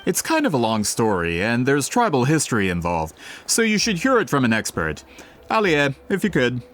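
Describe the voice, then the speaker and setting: A man's voice: medium pitch, wildly swooping pitch, moderate pace, fairly bright tone, faint music behind. An actor playing a polite, eager book merchant with a theatrical salesman's manner in a video game. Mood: persuasive.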